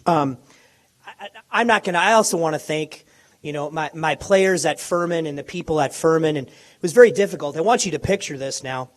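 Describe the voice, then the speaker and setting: A man speaks at a press conference microphone, his voice hoarse and worn from illness. It sits a touch higher than most men's, and he talks with eager, halting energy, often repeating words as he restarts. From Minneapolis, USA. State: hoarse, lost voice. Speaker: college basketball coach. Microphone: handheld or podium microphone.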